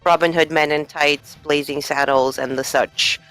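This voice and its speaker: A woman with a somewhat low voice chats on a live speedrun stream. She tells rambling stories full of fillers and little laughs. Faint game music plays behind her. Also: fairly even intonation, moderate pace, a bit bright, low-quality recording. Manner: chatty, giggly storytelling with many fillers. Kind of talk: casual stream chat.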